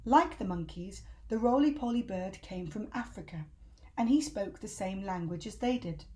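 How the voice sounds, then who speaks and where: A woman reading a children's book aloud in an animated, emphatic storytelling style. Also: medium pitch, normal speed. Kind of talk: expressive scripted narration for children.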